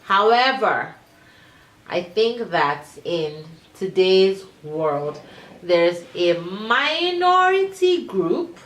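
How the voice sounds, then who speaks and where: A woman co-hosting a casual panel chat, animated and quick with asides. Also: medium pitch. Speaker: co-host. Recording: roomy live stream sound.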